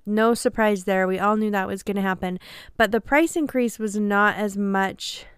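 A woman's voice: medium pitch, fairly even intonation, normal speed, clear, resonant tone, no echo, clean studio sound. A woman hosting a podcast in a casual, upbeat and self-deprecating chat, a little nasal from a passing cold. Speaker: podcast host.

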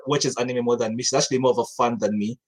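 A man's voice: mid-range voice, fairly even intonation, very fast. A young man from Uganda, a studio co-founder, chatting casually on a podcast with many restarts and easy laughs.

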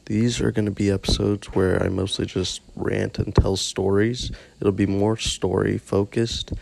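A man hosting his podcast in a casual, rambling monologue, gravelly from just waking up, joking at his own expense. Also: on the low side, little pitch movement, unhurried, a little mellow, slight background noise. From Canada. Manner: rambling, self-mocking, many restarts. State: just woke up. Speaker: podcast host.

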